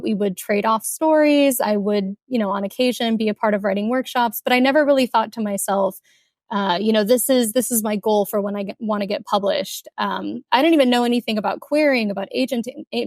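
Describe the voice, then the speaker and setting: A woman's voice: fairly high pitch, no echo, studio quality. Chatty young woman from Kuwait. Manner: chatty, many fillers, soft laughs. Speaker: author guest. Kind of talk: casual interview talk.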